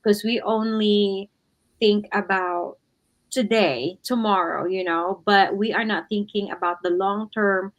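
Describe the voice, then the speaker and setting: A woman's voice: medium pitch, fairly even intonation, measured pace, dull audio. A woman from the Philippines hosts a casual livestream. She talks in a loose, chatty way, leaning on "you know" and "right" between thoughts. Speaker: livestream co-host.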